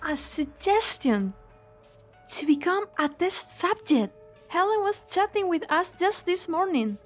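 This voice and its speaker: A woman's voice: extremely high pitch, sing-song, a little slow, faint music behind, full character voice. A woman voicing a distraught, accusing young woman in an amateur game fan dub.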